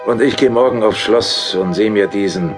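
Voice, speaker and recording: Man playing a gruff village constable over music. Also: on the low side, normal speed, natural voice. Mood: sober. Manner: blunt, grumbling. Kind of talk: German horror audio drama.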